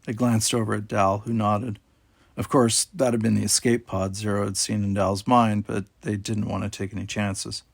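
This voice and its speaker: A man's voice: lowish voice, level tone, normal speed, creaky, dry recording, clean studio sound. A man reading a children's sci-fi story aloud as scripted audiobook narration. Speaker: audiobook reader.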